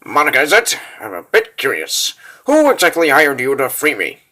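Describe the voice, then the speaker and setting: A man voicing a suave, inquisitive rogue of a sky pirate in a comic book dub, courtly and smooth-talking. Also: fairly high pitch, huge pitch swings, normal speed, pushed and bright, heavily acted. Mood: suave, probing. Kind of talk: comic book dub, dramatic character voices.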